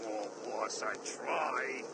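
An actor playing a big, slow, dim-witted henchman who whines to his boss. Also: medium pitch, very noisy. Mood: nervous.

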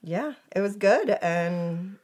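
A woman co-hosting a podcast, her voice raspy and hoarse from a cold, chatting with lots of ums and mm-hmms. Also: mid-range voice, expressive, takes it slowly, a little mellow. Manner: chatty, frequent "mm-hmm" and "um". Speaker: podcast co-host, school librarian.

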